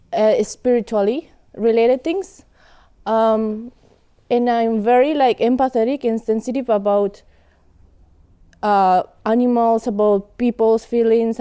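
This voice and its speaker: Young woman, Spanish native speaker with a Colombian accent, smooth mellow voice, hesitant interview talk. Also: on the high side. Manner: hesitant, many fillers and restarts. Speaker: guest, student. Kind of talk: casual interview chat.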